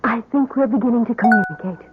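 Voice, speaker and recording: An actress playing a gentle, doting middle-aged wife in an old-time radio drama, solicitous, dreamy and genteel. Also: female voice, fairly high pitch, fast talker, lightly acted. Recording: tinny vintage radio broadcast.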